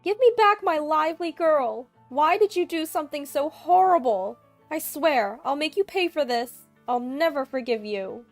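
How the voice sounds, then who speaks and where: A woman's voice: sits high, animated, normal speed, soft background music, voice pushed up. A woman voicing a furious, sobbing older mother-in-law who shrieks accusations down the phone. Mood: enraged.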